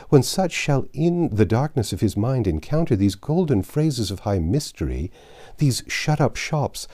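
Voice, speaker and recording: A humble young damsel of medieval storybook romance, put on by a male reader. She is earnest and deferential, spinning long archaic sentences with a lively, lilting pitch and a mellow tone. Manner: archaic, flowery, long-winded. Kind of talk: audiobook character dialogue.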